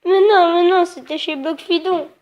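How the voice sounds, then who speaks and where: Prank-call comedy: naive, plaintive woman acted by a woman, high voice, childlike, stubbornly insistent, rambling, heard on the phone. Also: steady tone, moderate pace, lo-fi sound. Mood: wistful, rambling. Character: naive, plaintive woman grieving her little pet dog.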